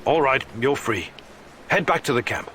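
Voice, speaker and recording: An actor playing a wary, skeptical male hero in a video game, questioning and steady. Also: medium pitch, normal speed, slight background noise. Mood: firm. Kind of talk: video game character acting.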